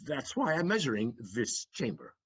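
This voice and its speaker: An actor playing Figaro, a witty, excitable young manservant, in a stage audio play, speaking in a flowery, theatrical manner. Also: male voice, medium pitch, husky, heavily acted. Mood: reasoning. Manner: flowery, theatrical, quick-witted. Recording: dull lo-fi stage recording.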